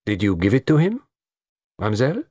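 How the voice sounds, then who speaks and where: A man's voice: lowish voice, wildly swooping pitch, fast talker, warm tone, breathy edge, no room sound, clean studio sound, full character voice. A man voicing a shrewd, precise middle-aged male detective with a put-on French accent. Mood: probing. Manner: precise, formal, probing questions. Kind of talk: audiobook character voice.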